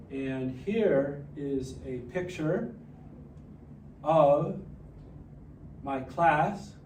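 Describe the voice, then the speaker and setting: Man, forties, from Hawaii; unhurried, measured self-introduction with plenty of pauses; sounds a little distant in a reverberant room. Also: fairly high pitch, dark tone. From Hawaii, raised partly in New Jersey. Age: 40s. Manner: slow and careful, pausing often with "uh" and "so". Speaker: presenter introducing himself to a class. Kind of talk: slow, deliberate self-introduction with slides. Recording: echoey room, voice a bit far from the mic, faint music.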